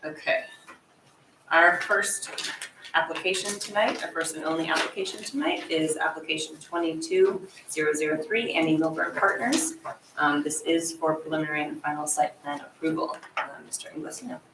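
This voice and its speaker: A woman's voice: low voice, moderate pace. A woman chairing a planning board meeting, reading official notices and running the agenda, recorded in a town hall room. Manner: reads official notices, apologetic about audio. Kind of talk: formal meeting procedure. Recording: echoey room, distant mic, background noise.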